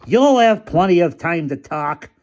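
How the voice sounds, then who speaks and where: A man voicing an officious, easily flustered male police officer shouting orders. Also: on the high side, expressive, measured pace, highs cut off, voice pushed up. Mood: frantic.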